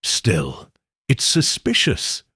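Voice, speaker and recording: A man voicing an excitable young vicar's wife, talking in an eager, breathless, gossipy way. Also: fairly low pitch, drawn-out words, husky, no room sound, clean studio sound, exaggerated voice. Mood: excited gossip.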